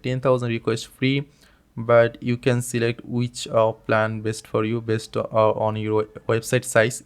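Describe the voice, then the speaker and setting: Bangladeshi man walking through a software setup. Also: medium pitch, clean tone. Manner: plain step-by-step explaining with frequent fillers and restarts, non-native phrasing. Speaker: tutorial host. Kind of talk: screen-recorded software tutorial.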